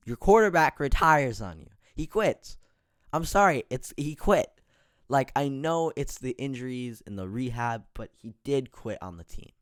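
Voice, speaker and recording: A man hosting a casual sports podcast, his voice raspy and hoarse, full of fillers and getting louder as he rants. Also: fairly low pitch, no room sound, studio quality. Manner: filler-heavy, gets heated and louder when ranting. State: hoarse, tired voice.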